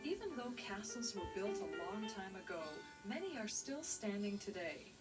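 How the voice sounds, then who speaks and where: A woman presents a children's educational show, explaining things clearly as if to young children. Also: medium pitch, big pitch swings, normal speed, distant mic. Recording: loud music behind, old VHS tape. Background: music.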